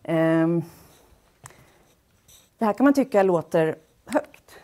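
A woman giving an explanatory public lecture in a measured, pausing and somewhat hesitant way. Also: fairly low pitch, animated, mellow. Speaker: lecturer, speech-language pathologist. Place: wood-panelled lecture hall, indoors. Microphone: headset.

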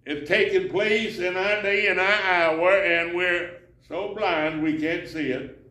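A man's voice: high-pitched, slow pace, sharp tone. An older man preaching a sermon while seated in a church sanctuary, reading scripture and gesturing with his hands. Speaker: pastor. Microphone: far camera. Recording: roomy church echo, from far off.

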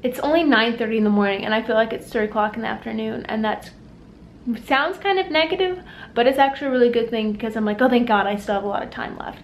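A young woman talks chattily to a handheld camera, her voice sitting on the higher side and sprinkled with fillers. The recording is casual and noisy, with steady background hiss and room sound. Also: fast talker. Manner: chatty and upbeat, with lots of "like" fillers. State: out of breath and overheated after a run. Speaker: vlogger. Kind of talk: casual daily vlog chat. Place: small home office, kitchen, then parked car. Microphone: handheld camera at arm's length.

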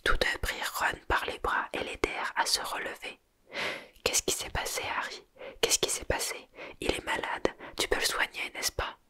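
A storyteller whispering a novel aloud in French in a breathy, unvoiced ASMR style, measured, with acted dialogue. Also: sharp tone. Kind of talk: whispered audiobook reading, ASMR.

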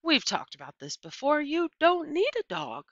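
A woman voicing a distracted but soft-hearted preacher father in a storybook, terse, questioning and firm. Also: sits high, wildly swooping pitch, normal speed, dry recording, voice pushed up, full character voice. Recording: dull, lo-fi computer microphone sound.

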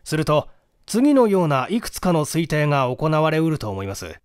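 A man voicing a courteous, methodical police detective in a mystery audiobook, speaking politely in measured explanations. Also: a little high, very animated, clean tone, no room sound, studio quality. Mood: serious, explanatory. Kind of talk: dramatic audiobook reading of a mystery.

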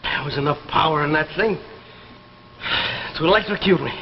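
A man's voice: on the high side, moderate pace, creaky. An American actor in his forties playing an absent-minded family man and father in a 1950s television drama. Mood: shaken, insistent. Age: 40s. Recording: muffled, hissy, reverberant old TV soundtrack.

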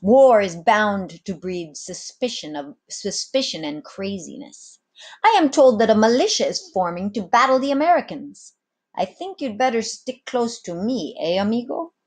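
A woman's voice: medium pitch, sing-song, normal speed. A woman voicing a sly, commanding male sea captain in a theatrical storybook read-aloud, tagging lines with little questions. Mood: confiding, warning.

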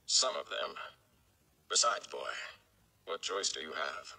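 A man's voice: sits low, very animated, takes it slowly, gravelly, sharp tone, full character voice. A man voicing a cagey old gleeman in a storybook, reluctant and cautioning. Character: cagey old male gleeman, warning a boy.

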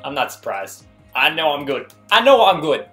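A man's voice: a little high, sing-song, moderate pace, over music. A man giving casual, exclamatory gameplay commentary as a video host, often putting himself down. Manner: self-deprecating, exclamatory.

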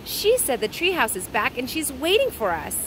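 An eager little girl from a children's adventure book, played by a woman reading aloud. She exclaims and insists, her pitch lifted above a plain reading voice, with clear background noise. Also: huge pitch swings, normal speed, full character voice. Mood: eager, insistent. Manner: bright-eyed, insistent, exclaiming.

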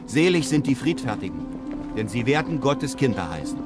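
An actor playing an earnest, humble traveller and narrator in a literary radio drama, speaking in a measured way. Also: male voice, mid-range voice, very animated, over music, close to an everyday voice.

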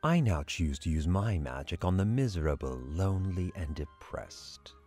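Deep, silky villainous sea sorcerer. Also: male voice, big pitch swings, moderate pace, a little mellow, faint music behind. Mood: theatrical, mock-sympathetic. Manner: silky, theatrical, mock-sweet. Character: sly, dangerously alluring male sea sorcerer with tentacles. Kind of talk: audio drama villain acting.

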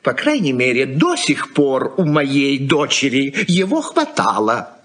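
A man's voice: mid-range voice, huge pitch swings, a little slow, fairly bright tone, slight background noise. An actor playing an irritable, practical middle-aged husband and father in a Soviet radio play, lecturing in a condescending way. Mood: brusque, lecturing. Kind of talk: Soviet radio drama acting.

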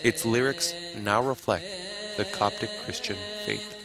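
A man reading a scripted documentary narration in a calm, deliberate way. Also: mid-range voice. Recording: music clearly under the voice.

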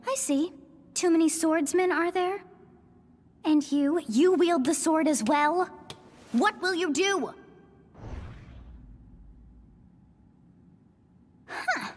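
A woman's voice: high voice, a little hiss or hum. A woman playing an ancient, girlish goddess in an anime video game, haughty, petulant and solemn, with archaic theatrical phrasing. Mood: haughty, measured. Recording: long reverb, music behind.

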